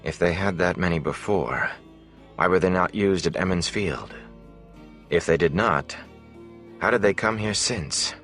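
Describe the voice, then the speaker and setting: A man voicing a calm, commanding sorceress who speaks in a measured, brooding, authoritative way in an audiobook. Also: low voice, faint music behind.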